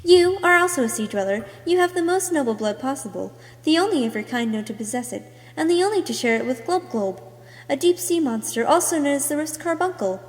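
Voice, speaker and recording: A woman reading scripted second-person narration as an amateur voice actor, in a performed storytelling way. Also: sits high. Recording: echoey, long room reverb.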